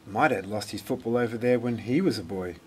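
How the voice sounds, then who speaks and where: A man voicing excitable, gossipy children spreading scary rumours in a storybook. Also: fairly low pitch, brisk, a little hiss or hum, lightly acted. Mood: warning. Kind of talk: storybook character voice.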